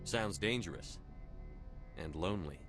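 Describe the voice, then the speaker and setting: A man playing a steady, authoritative starship commander in a video game, with terse, direct and controlled delivery. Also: low-pitched, unhurried, music in the background. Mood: concerned, probing.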